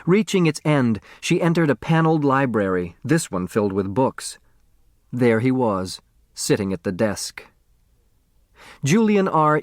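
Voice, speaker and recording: A man's voice: mid-range voice, no echo, studio quality. A man narrating a story from a script, in the dramatic style of an audiobook.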